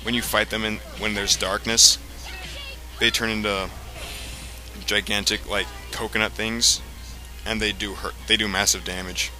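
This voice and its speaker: A man giving casual, explanatory gameplay commentary in a halting way, as the host of a let's play. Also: lowish voice, little pitch movement, bright, forceful tone. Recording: game music behind the voice. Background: video game music.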